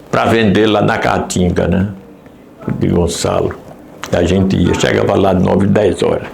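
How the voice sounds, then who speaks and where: A man, slowly recalling his past in a documentary interview. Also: on the low side, gravelly, a little mellow. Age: 80s. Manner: unhurried, halting recollection. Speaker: former mayor interviewee. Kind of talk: documentary testimony, reminiscing. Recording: echoey room with faint ambience.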